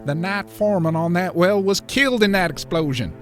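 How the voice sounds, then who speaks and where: A man's voice: high voice, fairly quick, faint music behind, natural voice. An actor playing an anxious, guilt-ridden younger man in an audio drama, agitated and accusing. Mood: distressed, accusing.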